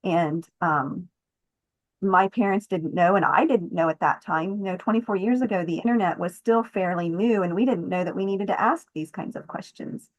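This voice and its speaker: A woman's voice: lowish voice, normal speed. A middle-aged woman giving personal testimony as a podcast guest in conversation. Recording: muffled, slightly echoey room sound.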